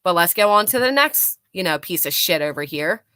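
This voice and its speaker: Sarcastic lady doing live commentary, sweary and mocking, forceful bright voice, dry close sound. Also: fairly low pitch, animated, fairly quick, dry recording. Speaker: live stream host. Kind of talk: live commentary reacting to clips and reading chat.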